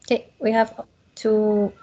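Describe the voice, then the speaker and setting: A woman from northeastern Colombia with a Colombian accent, an English teacher on a video call who gently corrects students and mixes Spanish with English. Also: fairly high pitch, level tone, slow, dull audio. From Norte de Santander, Colombia. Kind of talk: online English class.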